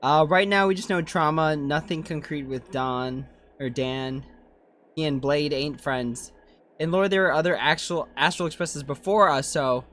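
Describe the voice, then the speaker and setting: A man's voice: fairly high pitch, unhurried, a bit bright, slight background noise. A man doing casual, chatty playthrough commentary as a game streamer, musing aloud. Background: faint game music.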